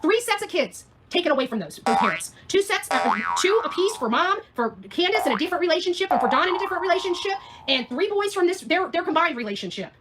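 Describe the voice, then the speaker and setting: A woman's voice: very high-pitched, very animated, fast, few pauses, over music. A woman ranting on a livestream in a combative, sarcastic, foul-mouthed way. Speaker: livestream host.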